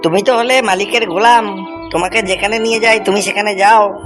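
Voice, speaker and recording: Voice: mid-range voice, fairly even intonation, fairly quick, lightly acted. A performer voicing a clever, friendly fox in a cartoon moral tale, talking in a chatty, coaxing way. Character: clever, carefree, friendly fox who coaxes and cautions. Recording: music bed under the voice.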